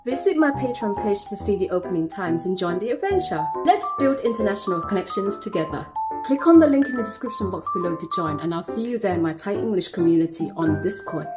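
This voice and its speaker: A woman's voice: medium pitch, lively intonation, quick pace, over loud music. A woman hosting and delivering commentary to her audience. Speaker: host. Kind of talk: video commentary.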